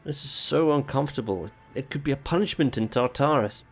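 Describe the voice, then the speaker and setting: A man's voice: mid-range voice, brisk, breathy edge, faint music behind, lightly acted. A man playing a haughty, sarcastic sea god in an audio drama, with elaborately polite speech. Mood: indignant. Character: sardonic, fussy sea god living among mortals.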